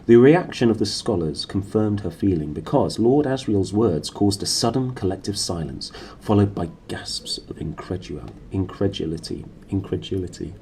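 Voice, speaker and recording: Male reader for a novel, dark soft-edged tone, noisy small-room recording. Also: sits low, lively intonation, normal speed, few pauses. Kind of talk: reading a novel aloud.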